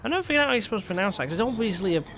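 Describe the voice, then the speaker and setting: A man's voice: sits high, very animated, rapid-fire. A man hosting casual gameplay commentary, rambling with stumbling restarts and a lingering cough. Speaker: gaming video host.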